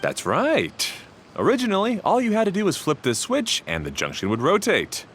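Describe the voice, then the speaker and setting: A man's voice: high-pitched, huge pitch swings, normal speed, fairly bright tone, slight background noise, exaggerated voice. A man voicing an earnest young railway repairer in a video game, explaining things with a grumbling edge. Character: young male railway repairer, earnest, aggrieved by his brother.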